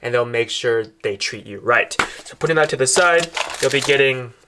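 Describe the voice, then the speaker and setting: A man's voice: medium pitch, moderate pace, background noise. A man presenting a product in a casual, step-by-step unboxing style, explaining things directly to viewers as he demonstrates.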